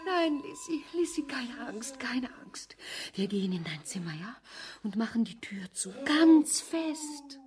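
A woman's voice: high voice, big pitch swings, a little slow, hardly any pauses, darkish tone. An actress playing a distraught, pleading mother in a radio drama, trying to protect her child. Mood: soothing, scared. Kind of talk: German radio drama acting.

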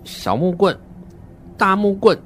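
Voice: mid-range voice, sing-song, slow pace, fairly bright tone, a little hiss or hum. An adult performer voicing a naive, uneasy, wordy seven-year-old child who narrates a horror radio drama in an earnest, dramatic way.

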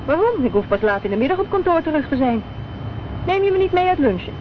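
Detective's nosy sensible friend, radio drama, direct, medium pitch, dull noisy old recording. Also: female voice, big pitch swings, fast talker. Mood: teasing, piqued. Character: practical, inquisitive female friend of a detective. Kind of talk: Dutch radio drama acting.

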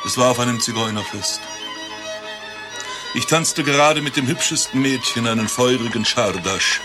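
An actor playing an eccentric doctor who claims to be Rasputin reborn, telling his tale in a deadpan, grandiose way in a satirical radio play. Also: mid-range voice, moderate pace, over music, lightly acted. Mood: grandiose, storytelling. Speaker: doctor recounting his past life.

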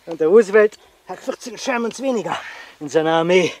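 An actor playing a boastful, excited young soldier in a German radio play. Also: male voice, high voice, fairly quick, a crowd in the background. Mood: gleeful. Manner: excited, cocksure. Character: boastful young male soldier eager for battle.

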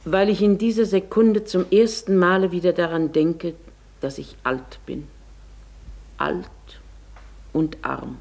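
Wistful elderly lady, low and husky. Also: steady tone, takes it slowly, long pauses, a little mellow, exaggerated voice. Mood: melancholy. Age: older. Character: wistful elderly lady, genteel, poor, newly emboldened. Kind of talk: German radio play acting.

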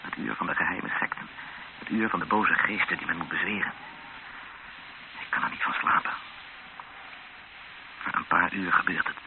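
A tense, sober male captive speaks low and earnestly, as the witness at the centre of a radio play. The sound is thin and tinny, like an old broadcast, with clear noise. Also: steady tone, moderate pace, long pauses. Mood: sober, tense recounting. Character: tense captive Dutchman, a witness recounting his ordeal. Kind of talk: first-person radio drama narration and dialogue.

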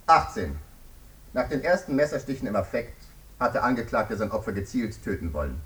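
An actor playing a stern, formal, unyielding courtroom lawyer demanding a harsh sentence in a radio drama. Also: male voice, mid-range voice, sing-song, a little fast, fairly bright tone, recorded from a distance, rough recording.

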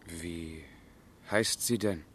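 An actor playing a passionate, despairing young man in a literary radio drama, with a husky voice and theatrical delivery. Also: low voice, extremely slow, a little hiss or hum, exaggerated voice. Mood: gently curious. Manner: anguished, theatrical, swinging from hushed to impassioned.

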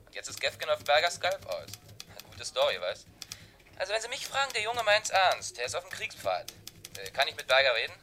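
An actor playing a pushy, sly newspaper crime reporter in a German radio drama, needling and mockingly familiar. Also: male voice, on the high side, wildly swooping pitch, a little fast, pushed and bright, faint music behind, full character voice.

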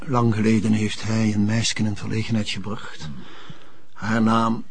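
An actor playing a pompous, influential notary in a TV drama, speaking with a Flemish accent, formal and hesitant, slipping into French phrases. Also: male voice, lowish voice, level tone, unhurried, breathy edge. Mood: formal, wistful. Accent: Flemish Belgian. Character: smooth-mannered, pompous, influential male notary. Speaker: actor in a police drama series.

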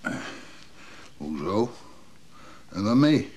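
An actor playing a lonely, chatty old man in a radio play, wry and kindly, with a halting, folksy way of repeating himself. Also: on the low side, sing-song, a little mellow, heavily acted. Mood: wary, matter-of-fact. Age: older. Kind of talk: naturalistic Dutch radio drama dialogue.

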